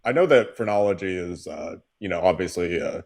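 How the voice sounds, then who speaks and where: Male political stream co-host, deep register, condescending and dry, halting delivery in an argumentative exchange. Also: expressive, creaky, darkish tone, no echo. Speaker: live show co-host. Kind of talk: political call-in debate.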